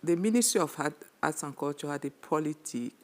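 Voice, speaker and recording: A woman, a former regional arts delegate, answering on a panel with halting speech and many fillers. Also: sits low, lively intonation, a little mellow. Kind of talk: panel talk show answer.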